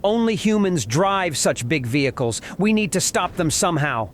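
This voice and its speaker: A man's voice: very animated, normal speed. A man voicing a small, alert male gnome adventurer in an earnest, exclamatory way, in an audio story. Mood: alarmed. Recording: faint rumbling noise behind.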